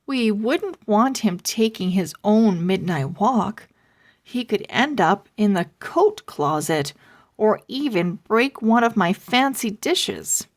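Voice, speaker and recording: A woman's voice: mid-range voice, expressive, slow. A woman voicing a playful mother in a children's storybook, teasing with mock-stern warnings. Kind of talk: children's storybook read-aloud.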